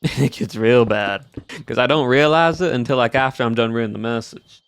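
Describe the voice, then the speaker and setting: A man's voice: medium pitch, moderate pace, clear, resonant tone, dry recording. A man with a put-on American Southern accent and a lax drawl that draws out sentence endings, chatting casually on a game stream. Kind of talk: casual gameplay livestream chat.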